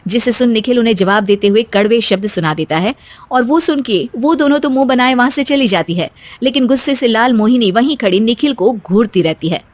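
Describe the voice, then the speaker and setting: A woman's voice: a little high, expressive, brisk, no room sound. A woman giving scripted narration for a cartoon story.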